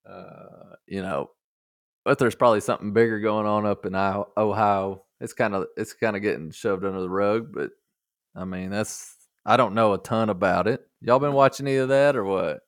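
Folksy man, low voice. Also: moderate pace, dry recording, studio quality. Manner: folksy, joking. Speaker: podcast host. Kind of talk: casual chat.

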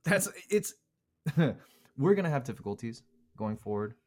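A man's voice: mid-range voice, sing-song, unhurried, darkish tone. A man born in Korea, a podcast co-host, chatting casually and rambling with lots of fillers and restarts. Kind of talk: casual chat.

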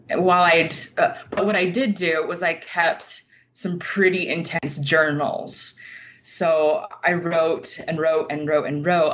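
A woman's voice: fairly low pitch, fairly bright tone. An American woman from Utah, a writer and teacher, talking casually as an interview guest. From Utah, United States. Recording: dull lo-fi line, faint background noise.

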